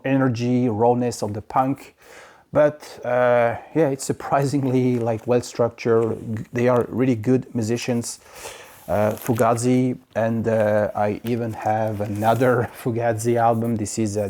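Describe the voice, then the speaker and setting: A man from France with a French accent, chatting casually about his record purchases in a halting way, full of fillers and restarts. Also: medium pitch, mellow. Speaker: vinyl collector vlogger. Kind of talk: casual record haul review. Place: home in Quebec.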